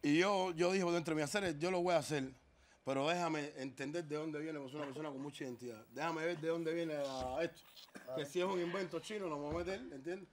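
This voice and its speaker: A man's voice: fairly high pitch, brisk. A man, a guest artist, telling stories in casual studio talk, calling others "mi rey". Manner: storytelling, says "mi rey". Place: TV studio set.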